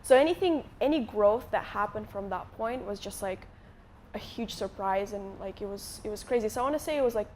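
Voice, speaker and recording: A woman's voice: medium pitch, brisk, a little mellow. Young woman from moldova chatting away onstage, lots of likes and restarts, bit of background hiss. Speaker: guest YouTuber. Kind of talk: casual onstage interview. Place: conference stage before a live audience.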